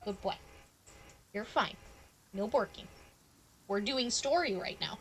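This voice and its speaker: A woman's voice: mid-range voice, slow, a bit bright, slight background noise, low-quality recording. A woman live streaming a game, chatting casually as she plays.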